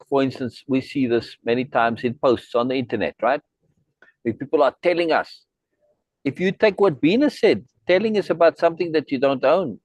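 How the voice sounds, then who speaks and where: A man's voice: medium pitch, a little mellow, no room sound. Chatty middle-aged South African man. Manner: rambling, friendly, many restarts and asides. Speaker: host. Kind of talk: casual chat on a video meeting.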